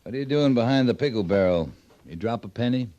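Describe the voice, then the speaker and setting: An actor playing a wry, easygoing American cavalry captain in an old-time radio Western, direct and unfussy. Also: male voice, mid-range voice, animated, quick pace, warm tone, muffled recording, lightly acted.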